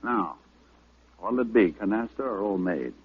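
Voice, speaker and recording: An American actor playing a flippant, wisecracking private detective in old radio comedy style, with sardonic, stammered asides. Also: male voice, lowish voice, animated, unhurried, slight background noise. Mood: wry reluctance. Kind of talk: 1940s-50s radio detective comedy acting. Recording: muffled narrow-band old radio recording.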